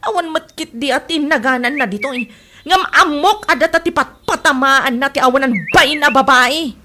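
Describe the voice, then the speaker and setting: Actress as a shrill, excitable Ilocano gossip. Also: female voice, moderate pace. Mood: gossipy. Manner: animated, gossipy. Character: excitable gossipy woman, egging others on. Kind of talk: Ilocano radio comedy drama acting.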